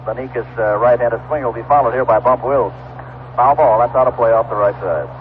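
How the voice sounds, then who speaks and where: A man working as a baseball radio announcer, talking in an unhurried, conversational way in an old broadcast. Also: medium pitch, phone-quality sound. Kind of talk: live radio baseball commentary with ad reads. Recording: noisy, thin vintage AM radio broadcast.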